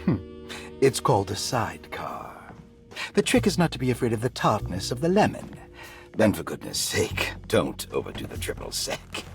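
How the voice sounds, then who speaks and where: Urbane, condescending asylum doctor for a horror game: evasive, measured, very varied intonation, faint music. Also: male voice, fairly low pitch, huge pitch swings, vocal fry, heavily acted. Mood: suave, condescending. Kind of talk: cinematic video game voice acting.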